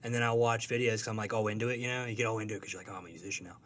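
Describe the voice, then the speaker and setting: A man being interviewed as a guest, talking in a casual, halting, self-deprecating and joking way. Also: fairly low pitch, steady tone, fairly bright tone, slight background noise. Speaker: guest, comic strip artist.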